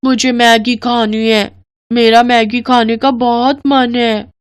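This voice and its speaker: A woman voicing an eager, greedy little girl in a children's story, pleading and excitable. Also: a little high, slow, clean tone, no room sound. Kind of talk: Hindi children's story voice acting.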